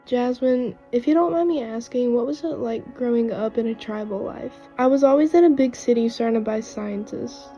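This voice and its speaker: Woman voicing wounded young runaway, cartoon drama, higher pitch, lo-fi recording, earnest. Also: normal speed, hardly any pauses, mellow, natural voice. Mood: earnest, pained. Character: wounded, earnest runaway girl with strange powers. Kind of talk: amateur animated series voice acting. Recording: dull lo-fi mic under faint music.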